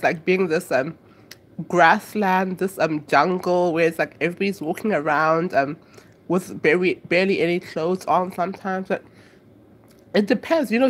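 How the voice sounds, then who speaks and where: A woman's voice: on the low side, slightly rough. A young woman from Africa chatting casually to camera as a vlogger, rambling and hesitant with lots of fillers.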